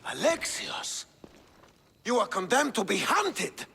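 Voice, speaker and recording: High-pitched, gravelly Spartan king. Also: big pitch swings, slow, bright, forceful tone, long reverb tail, slight background noise, exaggerated voice. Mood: scornful, wrathful. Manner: declamatory, imperious. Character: stern, proud old Spartan king, quick to wrath. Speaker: voice actor in a game cutscene. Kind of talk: dramatic video game acting.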